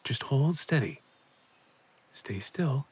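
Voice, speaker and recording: A man's voice: medium pitch, lively intonation, takes it slowly, very noisy. A middle-aged man acting a grieving, protective widowed father in an audio drama, slightly muffled. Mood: gentle, regretful.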